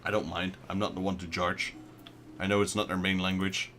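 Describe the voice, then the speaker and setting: Low-voiced Dutch man chatting over gameplay. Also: little pitch movement, brisk, fairly bright tone, a little hiss or hum. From Netherlands. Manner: chatty, talks back to viewer chat. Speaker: let's play host. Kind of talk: casual gameplay commentary.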